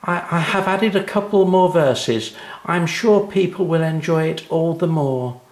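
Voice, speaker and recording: A man voicing an excited, confident little schoolgirl in a children's story, eager and emphatic. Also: fairly high pitch, unhurried, echoey room, voice pushed up. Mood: cheerful.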